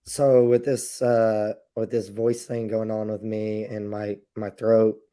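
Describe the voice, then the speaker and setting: A man's voice: on the low side, little pitch movement, slow, mellow, clear, resonant tone. A male podcast host who is losing his voice, asking easygoing questions in casual chat.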